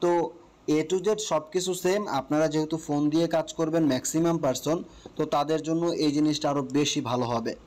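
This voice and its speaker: Casting: Bangladeshi male tutorial host, slightly high voice, casual and explanatory, guiding step by step and checking in often. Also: steady tone, fast talker, a little mellow.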